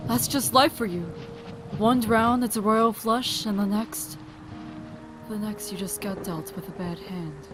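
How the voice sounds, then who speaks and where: A woman's voice: medium pitch, steady tone, measured pace, music in the background, close to an everyday voice. A woman playing a wry, motherly survivor in an audio drama, talking in a casual, resigned way. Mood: wistful, resigned.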